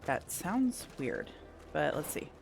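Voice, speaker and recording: A woman's voice: deep voice, big pitch swings, slow pace, gravelly, a little hiss or hum. A woman giving casual video game playthrough commentary, thinking aloud with mild exclamations.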